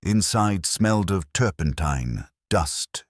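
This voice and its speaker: A man reading a first-person detective story as an audiobook narrator, in a wry, measured storytelling manner. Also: low-pitched, animated, no room sound, studio quality.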